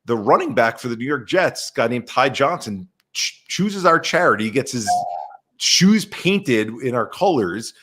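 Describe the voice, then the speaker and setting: A man talking as a podcast guest in an animated, energetic way over a video call. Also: medium pitch, unhurried. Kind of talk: casual conversation.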